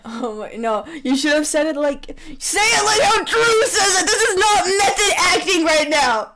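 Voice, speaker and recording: A woman's voice: very high voice, expressive, normal speed, heavy background noise. A woman reading fan fiction aloud with playful banter, theatrical, bossy and giggly, on a poor amateur microphone. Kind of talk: playful read-aloud mixed with casual banter.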